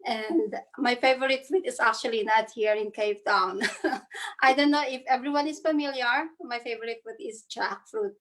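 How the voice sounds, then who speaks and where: A woman in her thirties, from the Philippines, speaks a little high as she introduces herself to an online panel. She is earnest and halting, with many fillers, and she comes through a video call. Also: steady tone, fairly bright tone, low-quality recording. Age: 30s. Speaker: panelist, spa owner.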